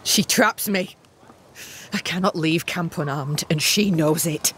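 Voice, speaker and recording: Game role: resentful, blunt young settler woman, measured delivery, scornful edge. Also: deep voice, slight background noise. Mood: bitter. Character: bitter, proud young woman camp settler, resentful of her sister. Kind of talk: dramatic game cutscene acting.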